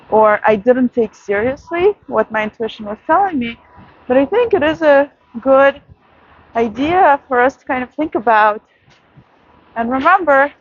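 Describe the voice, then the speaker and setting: A woman vlogger giving a soft, reflective late-night monologue outdoors in a city, with many pauses and fillers. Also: high voice, slightly rough, highs cut off.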